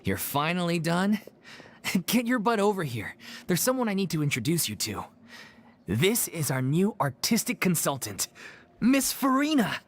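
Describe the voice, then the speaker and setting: A man's voice: high-pitched, big pitch swings, normal speed, slight background noise. A man playing an earnest, loyal young troupe actor in a video game. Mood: friendly.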